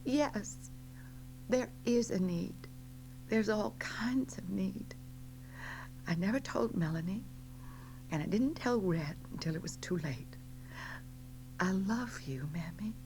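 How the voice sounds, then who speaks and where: A young Southern woman, willful and grieving, acted by a female narrator, pleads slowly and breathily. Her pitch sits well above the storyteller's, in dramatic audiobook style on a noisy recording with hum. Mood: anguished grief. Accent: American South. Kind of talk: dramatic audiobook character acting.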